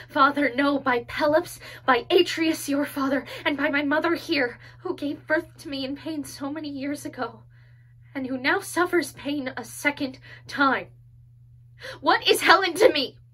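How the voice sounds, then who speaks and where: A woman performing a classical tragic monologue as a young daughter pleading with her father, from hushed whispers to desperate cries. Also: high-pitched, full character voice.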